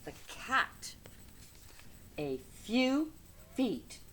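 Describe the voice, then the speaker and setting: A woman's voice: a little high, sing-song, extremely slow, fairly bright tone, a little hiss or hum. A woman reading a children's storybook aloud with dramatic, emphatic phrasing. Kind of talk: expressive children's storybook narration.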